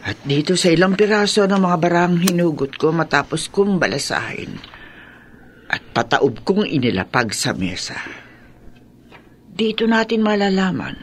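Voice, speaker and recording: A man voicing an old grandmother who reads cards and speaks gravely, at times in a whisper. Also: fairly high pitch, slight background noise. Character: old grandmother fortune teller reading cards.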